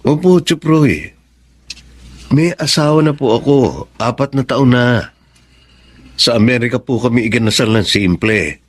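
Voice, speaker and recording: A man acting a kindly, generous cousin in a Tagalog radio drama, gentle, earnest and polite. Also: medium pitch, expressive, a little slow, long pauses. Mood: earnest, confiding. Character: kindly, generous cousin returned from America, reassuring and respectful.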